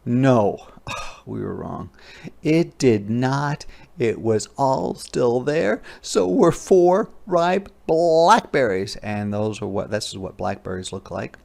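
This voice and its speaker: A man reading a storybook aloud as a teacher, expressively, pausing to explain words simply for children. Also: medium pitch, expressive, creaky, a little mellow.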